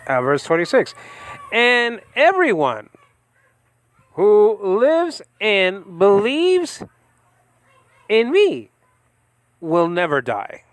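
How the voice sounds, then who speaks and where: Man, fairly high voice, rambling Bible teacher, halting, voice rises on scripture. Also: no echo. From United States. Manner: rambling, halting, lifts pitch and volume when reading scripture. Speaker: Bible teacher and ministry host. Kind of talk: informal Bible study talk. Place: home in the Philippines.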